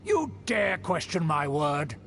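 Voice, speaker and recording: A man's voice: a little high, big pitch swings, slow pace, gravelly, music in the background, full character voice. An actor playing a haughty, self-important nobleman in a video game, with pompous, formal diction. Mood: indignant. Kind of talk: theatrical video game character acting.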